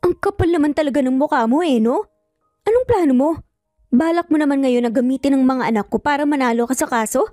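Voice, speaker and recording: A woman's voice: sits high, fast, dark tone, no room sound, clean studio sound, faint music behind, natural voice. A woman voicing a protective, angry mother in a dramatic story, with an emphatic, confrontational manner.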